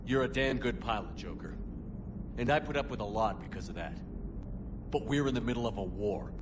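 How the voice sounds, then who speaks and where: A man's voice: medium pitch, lively intonation, fairly quick, noisy background, close to an everyday voice. A man voicing a stern starship commander. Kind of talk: video game cutscene dialogue.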